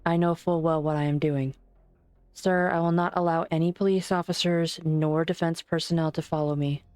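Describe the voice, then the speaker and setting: A woman voicing a calm, formal doctor in an amateur fan dub, polite but firm. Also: deep voice, normal speed, smooth, no room sound, lightly acted. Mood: steady.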